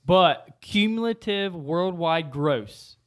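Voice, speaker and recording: A man's voice: high-pitched, lively intonation, slow. A man talking casually on a podcast, reading out facts and figures in conversation.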